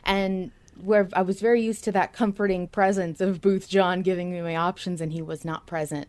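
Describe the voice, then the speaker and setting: A woman's voice: on the low side, fairly even intonation, moderate pace, darkish tone. A woman hosting a casual fan discussion podcast, speaking with enthusiasm as she comments and reads out remarks. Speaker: podcast host.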